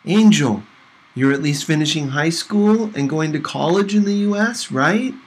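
Man as earnest teenage girl, novel character, slightly high voice, probing, insistent, slightly defensive, lively intonation. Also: normal speed, husky. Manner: tentative, probing, a little defensive.

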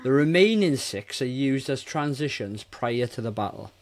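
Animated, blunt man in his forties. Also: medium pitch, normal speed. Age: 40s. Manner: blunt, mildly sweary. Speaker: podcast co-host. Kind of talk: casual, opinionated film review chat.

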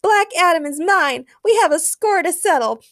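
A woman voicing a petulant, hot-headed young superheroine in a story, pouting and shouty. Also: very high voice, animated, normal speed, dry recording, clean studio sound, voice pushed up, full character voice. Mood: vengeful.

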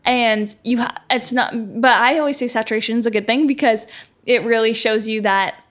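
A woman's voice: a little high, a little fast, fairly bright tone. A young woman from Canada hosting a casual podcast chat, upbeat and gushing, with lots of filler words. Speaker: podcast host.

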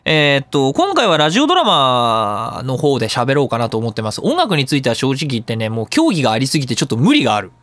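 Male radio host, polite and self-joking explainer, fast and animated, casual studio talk. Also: medium pitch, no echo. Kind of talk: casual solo talk show on audio production. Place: recording studio.

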